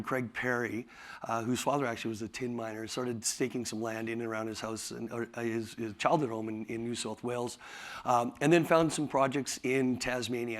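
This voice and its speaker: A man with a raspy voice, tired from talking all day, presenting his company at a conference in a halting way, with many fillers and restarts. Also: mid-range voice. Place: conference hall.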